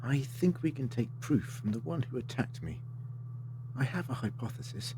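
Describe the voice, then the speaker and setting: A man's voice: mid-range voice, animated, moderate pace, noisy background, natural voice. A man acting in an audio drama as a polite, assured time-travelling adventurer, articulate and theatrical with a wry streak. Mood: grave, deliberate.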